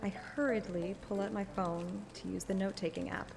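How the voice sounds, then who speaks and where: A woman's voice: mid-range voice, fairly even intonation, normal speed, gravelly, lightly acted. A woman voicing a curious, playful young heroine who narrates a romance game story. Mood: playfully insistent. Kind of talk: reading a game script aloud with dialogue. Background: light game music.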